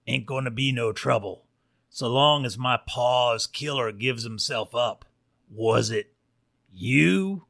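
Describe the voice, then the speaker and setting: A man's voice: mid-range voice, takes it slowly, gravelly, a bit bright. A man voicing a mean young wolf gunslinger in a western storybook, with a menacing American old-west drawl.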